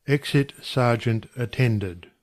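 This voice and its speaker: A man's voice: mid-range voice, slow pace. A man narrating a play, reading out scene headings and stage directions in scripted audiobook style.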